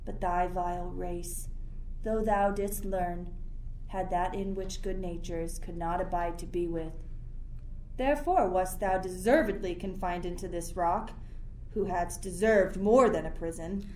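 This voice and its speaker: A woman's voice: lowish voice, unhurried, mellow, lightly acted, rough recording. Young woman as imperious island sorcerer, faint noise. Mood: scornful. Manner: commanding, theatrical. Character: Prospero, imperious, stern sorcerer ruling an island. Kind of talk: radio play, Shakespearean verse.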